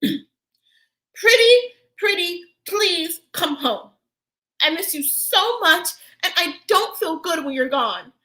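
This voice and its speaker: A woman's voice: lively intonation, slow, sharp tone, heavily acted. A woman voicing a lonely, childlike girl doll who talks with childish grammar and pines for her owner. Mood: pleading. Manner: high childish voice, bad grammar, wobbly. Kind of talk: audio drama acting.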